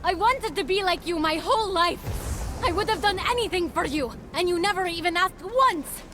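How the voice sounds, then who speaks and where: Very high-voiced defiant young heroine. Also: female voice, normal speed, noisy background. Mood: hurt, challenging. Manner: emotional, dramatic. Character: earnest, defiant young heroine, a warrior's little sister. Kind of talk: video game cutscene acting. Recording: loud music behind the voice.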